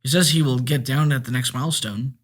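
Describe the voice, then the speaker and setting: A man's voice: medium pitch, fairly quick, close to an everyday voice. A man reading a story aloud, voicing a worried, respectful male chauffeur. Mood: earnest. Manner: respectful, calls the listener sir.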